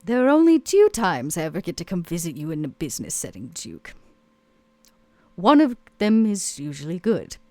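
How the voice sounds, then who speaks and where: A woman voicing a weary, sardonic female vampire club owner in a tabletop roleplay drama, wry and given to dramatic pauses. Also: fairly low pitch, very animated, darkish tone, dry recording.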